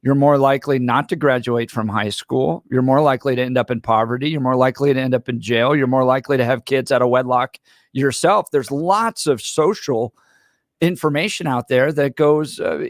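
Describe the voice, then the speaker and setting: A man's voice: medium pitch, normal speed, dry recording. A man hosting a talk radio show, making emphatic points in list-like runs from a studio mic. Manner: emphatic, lectures in lists. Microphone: studio microphone.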